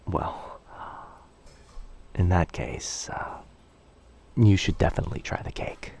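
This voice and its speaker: A man acts out a bashful, gentle young man in an intimate boyfriend roleplay. His deep voice moves slowly and haltingly, with a gravelly fry at the edges. He sounds apologetic and tender, often slipping into a breathy near-whisper. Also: a little hiss or hum, heavily acted. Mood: shy, warming up. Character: very shy, gentle young man, a coffee shop stranger with a crush. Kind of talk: boyfriend ASMR roleplay, soft intimate acting.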